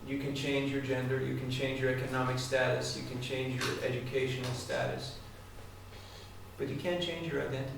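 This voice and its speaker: A man's voice: mid-range voice, level tone, brisk, vocal fry. A man giving a devotional talk to a live audience, speaking conversationally and asking his listeners direct questions. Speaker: spiritual speaker. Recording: echoey hall, distant mic, faint noise.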